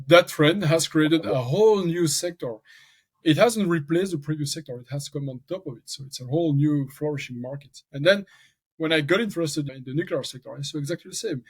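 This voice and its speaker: A man's voice: fairly high pitch, a little fast, husky. Talkative man with a Belgian French accent. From French-speaking Belgium. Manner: talkative, thinks aloud with restarts. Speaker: venture capital founder, guest. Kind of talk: casual podcast interview.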